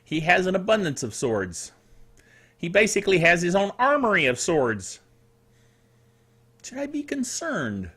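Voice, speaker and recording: A middle-aged man hosting a solo tech news show in a chatty, playful and quirky way, with theatrical asides and a slightly dry, rough throat. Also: sits high, big pitch swings, a little slow, pauses often, bright, forceful tone.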